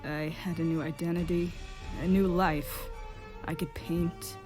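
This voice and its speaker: Casting: melancholy exiled painter played by a woman, low measured voice, wistful storyteller, instrumental music underneath. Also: little pitch movement, close to an everyday voice. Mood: wistful storytelling. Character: exiled male court painter, centuries old, melancholy and wistful. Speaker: voice actor in a fan dub. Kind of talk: dramatic storytelling monologue. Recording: music behind the voice.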